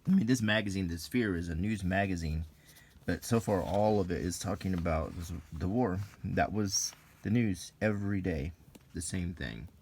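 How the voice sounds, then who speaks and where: A man with a very low-pitched voice talks in an unscripted, musing way, as in an ASMR video. He pauses often and picks his words haltingly. There is some background noise in the recording. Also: a little mellow. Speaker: video host reviewing an old magazine. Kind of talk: unscripted ASMR-style commentary while browsing a magazine.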